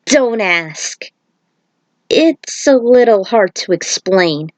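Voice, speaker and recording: A woman voicing an earnest male shaman warrior, a patient mentor who explains things in a measured, serious way. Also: mid-range voice, expressive, no room sound. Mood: explaining.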